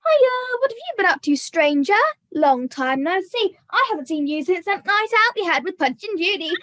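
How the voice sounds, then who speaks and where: A woman's voice: very high voice, big pitch swings, moderate pace, exaggerated voice. A woman playing a chatty puppet who teases a scrounging friend, in an online puppet show. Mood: chatty, teasing. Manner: chatty, bright greeting over grumbling.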